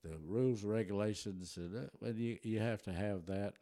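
Older man, german first language, low warm voice, folksy rambling storyteller, dry close sound. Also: normal speed, no echo. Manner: folksy, rambling with many restarts and chuckles. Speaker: interview guest. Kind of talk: relaxed storytelling.

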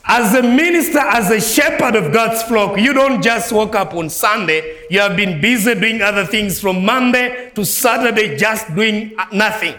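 A man from Malawi with a Malawian accent preaching a sermon as a guest preacher, swinging between soft low phrases and raised ringing lines. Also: moderate pace, hardly any pauses, roomy sound. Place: church.

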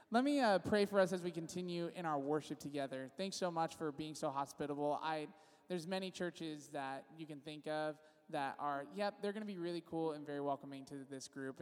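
Young man speaking in a reverberant church. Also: on the high side. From California. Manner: casual, friendly, loose with restarts. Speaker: worship leader. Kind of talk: church service intro and spoken prayer. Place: church sanctuary. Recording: long reverb of a large church hall.

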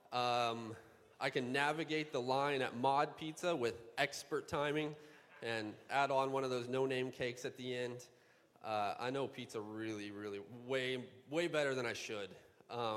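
A man, a youth pastor, giving upbeat, joking church announcements before introducing a skit. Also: mid-range voice. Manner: upbeat, joking, with bursts of excitement. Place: church sanctuary. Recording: long church reverb.

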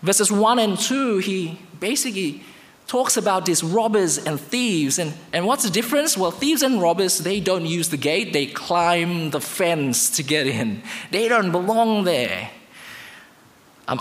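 A man from Indonesia, speaking English as his second language, preaching a sermon with emphatic highs and quiet lows. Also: expressive, measured pace. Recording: reverberant hall.

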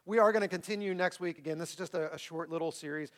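Higher-pitched male pastor preaching. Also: fast talker. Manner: conversational, repeats phrases for emphasis. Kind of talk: church sermon with closing prayer and announcements. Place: speaking to a congregation in a room.

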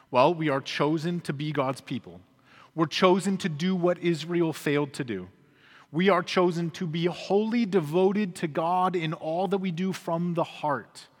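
A pastor delivering an earnest, emphatic sermon to his congregation in a church. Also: on the high side. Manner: earnest, emphatic preaching with dropping endings. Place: church sanctuary. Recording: reverberant church hall.

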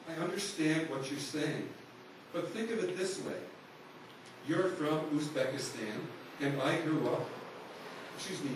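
A male pastor tells a story from the pulpit in an earnest, conversational way, his voice a little high. The mic sits far off in a big, very echoey sanctuary. A noisy hiss runs beneath him. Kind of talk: sermon.

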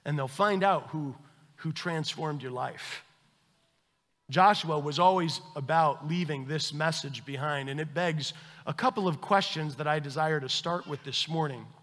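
A man preaching a sermon as pastor, with earnest emphasis that swells and then drops to a hushed tone. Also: on the high side, unhurried, long reverb tail. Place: church sanctuary.

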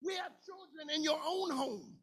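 A man in his forties preaching a sermon, impassioned and colloquial, swinging between shouted lines and a half-sung cadence. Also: very high-pitched, moderate pace. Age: 40s. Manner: colloquial, informal grammar.

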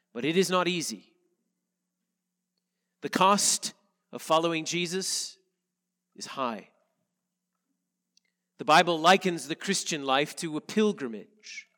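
Nasal-sounding pastor preaching in a reverberant church. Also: male voice, on the high side, animated, fairly bright tone. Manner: earnest, measured preaching with slow emphatic phrases. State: slightly nasal from a head cold. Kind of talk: sermon with scripture reading. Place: church sanctuary.

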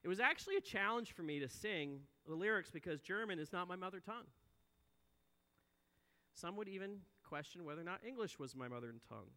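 A man's voice: high voice, animated, fairly quick, bright, forceful tone. A man in his forties preaching a sermon, earnest, swelling and dropping his volume for emphasis. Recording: long hall reverb.

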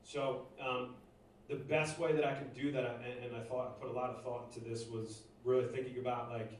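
A man from Northeast Philadelphia giving a halting personal testimony to a church congregation, full of fillers and restarts. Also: mid-range voice, flat delivery. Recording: echoey room, distant mic, faint noise.

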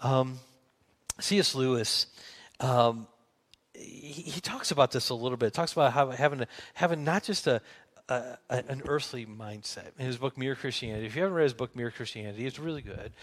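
A man with a Midwestern American accent preaching an informal sermon as a pastor, haltingly rephrasing himself and posing questions to listeners. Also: mid-range voice. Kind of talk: conversational expository sermon.

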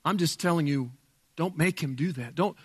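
A male pastor with a loud voice preaching a sermon in a church, emphatic, dropping from booming to hushed. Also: moderate pace, husky, in a large hall. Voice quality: loud speaking voice. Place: church sanctuary with sound board.

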